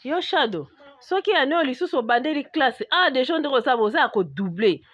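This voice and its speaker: A woman with a Congolese accent playing an indignant, scolding market-trader mother in an animated comedy. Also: high voice, brisk, dry recording, muffled recording.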